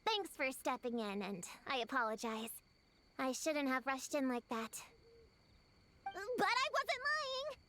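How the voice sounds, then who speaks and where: A woman's voice: high voice, sing-song, slow, bright, forceful tone, a little hiss or hum, full character voice. A woman voicing an eager, stubborn young girl investigator in a video game, earnest and a bit self-important. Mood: insistent.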